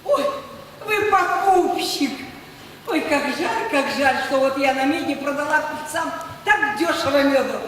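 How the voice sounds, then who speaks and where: Heard from far off in a reverberant hall, an actress plays a fussy old widow. Her high voice is naive and plaintive, repeating itself in theatrical style. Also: unhurried, a bit bright. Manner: plaintive, repetitive. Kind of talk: theatrical stage acting. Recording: distant echoey theatre, faint noise.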